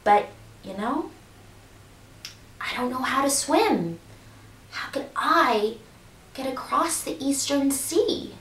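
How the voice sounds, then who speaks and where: An earnest, brave rooster, played by a woman reading aloud pleads slowly with a rising and falling voice. It sounds distant, with room echo. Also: sits high, very animated, fairly bright tone, heavily acted. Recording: roomy echo, distant mic.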